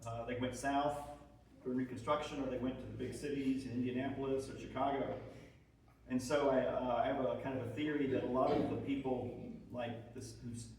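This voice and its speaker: A man presents an informal history talk with a mid-pitched voice. He explains things reflectively, as in a discussion with listeners. The room is very echoey and he is picked up from a distance. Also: little pitch movement, a little fast, a little mellow. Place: indoor hall with an audience. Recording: very echoey room, distant mic, faint noise.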